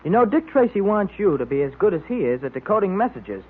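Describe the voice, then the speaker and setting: Keen boy club leader, high lively voice, vintage tinny radio. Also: quick pace, husky, full character voice. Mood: keen, official. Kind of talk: 1930s radio club segment. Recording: tinny old radio recording.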